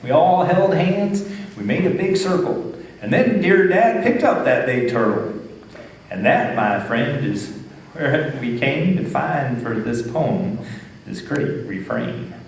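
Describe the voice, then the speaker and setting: A man's voice: a little high, animated, slow. A man with a folksy Texan drawl giving a playful, dramatic live poetry reading for children and families. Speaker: storytelling poet performer. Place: live reading in an echoey room. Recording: echoey room, distant mic, faint noise.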